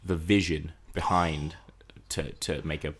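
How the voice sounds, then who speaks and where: Male, deep voice. Thoughtful podcast co-host, casual but analytical. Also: steady tone, slow pace, breathy edge. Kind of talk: casual film and TV discussion.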